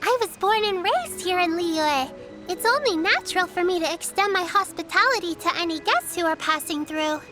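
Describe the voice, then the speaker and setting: A woman voicing a well-mannered, caring little girl in a video game, earnest, formal and gently instructive. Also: very high-pitched, animated, normal speed, soft background music, full character voice. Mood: kind, hospitable.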